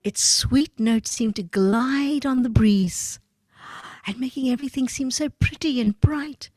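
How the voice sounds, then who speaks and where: A woman telling a children's story, animated and talking directly to the children. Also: high voice, unhurried, no room sound.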